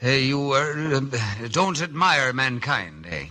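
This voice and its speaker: An actor playing a roguish, bumbling captain in a theatrical 1950 radio drama style. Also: male voice, mid-range voice, very animated, bright, forceful tone, full character voice. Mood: flustered. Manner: theatrical, halting bluster. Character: roguish Irish adventurer captain, bold but bumbling talker.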